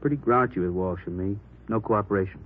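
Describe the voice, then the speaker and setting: An actor playing an earnest, suspicious police detective in a 1940s radio drama, talking in informal, clipped phrases. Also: male voice, lowish voice, fast, phone-quality sound, lightly acted. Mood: earnest report. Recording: thin, band-limited old radio recording.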